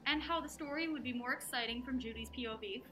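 A woman's voice: fairly high pitch, quick pace, fairly bright tone, a little hiss or hum, lightly acted, low-quality recording. A woman playing a wry, wary amateur sleuth in a naturalistic audio drama, with a dry, questioning manner. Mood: wry, teasing. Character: wry, wary young woman on a mystery hunt.

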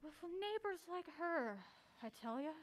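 An anxious, mild-mannered woman in an audio drama, very high in pitch with big swings of intonation. She sounds wary and skeptical, quick to get exasperated. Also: lively intonation, background noise. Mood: exasperated, urgent. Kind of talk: naturalistic audio drama acting.

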